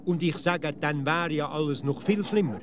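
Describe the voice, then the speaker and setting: A man's voice: fairly high pitch, normal speed, noisy background, lightly acted. An actor with a Swiss German accent playing a sceptical, literal-minded provincial man in a comic radio play, dry and full of quibbling questions. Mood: argumentative.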